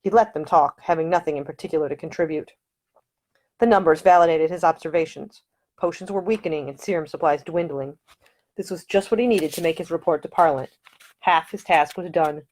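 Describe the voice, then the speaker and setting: A woman's voice: sits low, fairly quick, darkish tone. A woman reading her own historical novel aloud as scripted audiobook narration.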